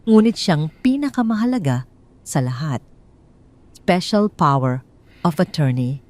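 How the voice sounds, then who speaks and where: A Filipino woman narrates a scripted drama as an audio storyteller. Her voice sits somewhat low, with a very mellow, warm tone. She delivers each sentence in a deliberate, measured way.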